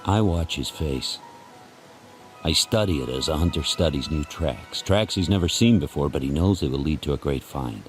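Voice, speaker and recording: A man's voice: a bass voice, animated, over music. A man voicing an intense, devoted sled dog narrating its thoughts in terse, solemn, incantatory phrases for a radio drama. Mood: watchful, intent. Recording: noisy rushing ambience behind voice.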